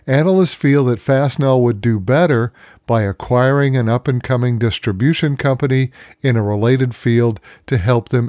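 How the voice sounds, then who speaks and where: A man hosting a financial program, reading scripted news in a measured, formal manner. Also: mid-range voice, dry recording, lo-fi sound.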